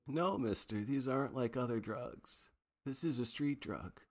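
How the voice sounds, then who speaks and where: A man voicing a frightened high-school boy caught stealing, hushed and pleading, in a 1940s noir radio drama. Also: measured pace. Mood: nervous, secretive. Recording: long reverberant hall sound.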